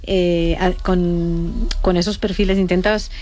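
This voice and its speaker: A woman's voice: fairly low pitch, level tone, slow pace, darkish tone. A woman from Barcelona talking as an interviewer in a conversational interview. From Barcelona, Spain.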